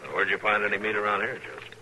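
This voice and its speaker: An American actor playing a steady, authoritative frontier marshal in an old-time radio western, terse and dryly good-humored. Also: male voice, lowish voice, quick pace. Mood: calm, dry. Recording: slightly noisy vintage radio recording.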